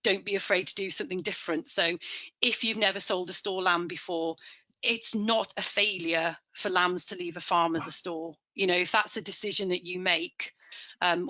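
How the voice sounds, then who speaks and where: A chatty woman talking through a webinar with a bright, forward tone. The audio is dry and a little muffled, like an online call. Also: lowish voice, no echo. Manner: chatty, restarts often, laughs easily. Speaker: livestock advisor presenting a webinar. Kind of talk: conversational webinar presentation.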